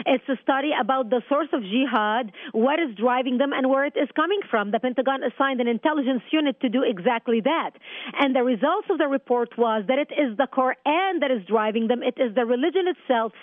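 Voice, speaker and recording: A woman's voice: high voice, dry recording. A woman whose first language is Arabic, a guest calling in by phone and arguing insistently. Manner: insistent, forceful argument. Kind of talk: phone-in interview. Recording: tinny phone line.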